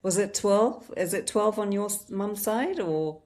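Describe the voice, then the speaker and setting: A woman's voice: medium pitch, a little slow, darkish tone. A woman in her fifties hosting a podcast, reading a welcoming intro and then asking interview questions in a conversational way. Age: 50s. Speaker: podcast host.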